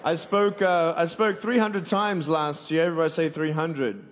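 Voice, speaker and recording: A man's voice: fairly high pitch, steady tone, moderate pace, a little hiss or hum. A man in his twenties with an Australian accent, giving a joking, motivational sermon to a live audience and calling for responses. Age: 20s. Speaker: evangelist speaker. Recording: long hall reverb.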